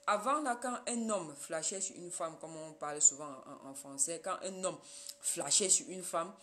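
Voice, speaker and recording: A woman's voice: fairly low pitch, normal speed, pushed and bright. A woman from Cameroon, a Yemba speaker, hosting a casual live stream and talking straight to her viewers.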